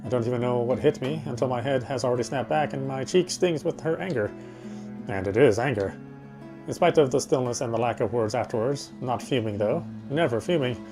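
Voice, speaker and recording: A man doing a dramatic read of visual novel narration, stumbling over the odd word. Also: medium pitch. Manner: stumbles over words while reading. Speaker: let's player reading a game aloud. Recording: music bed behind the voice. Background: loud game music.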